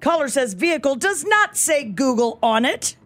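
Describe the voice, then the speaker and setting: A woman's voice: high-pitched, sing-song, normal speed, a bit bright, faint music behind. A woman co-hosting a radio show who reads police scanner reports in a dry, matter-of-fact dispatcher style between bits of banter. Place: radio studio. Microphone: studio microphone.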